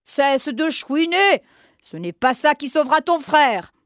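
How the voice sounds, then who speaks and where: A woman's voice: sits high, lively intonation, a little slow, dry recording, studio quality. A woman voicing a wicked, greedy old witch in a storybook reading, cackling, honeyed one moment and hissing or snarling the next. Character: wicked old female witch, greedy, cruel, child-eating.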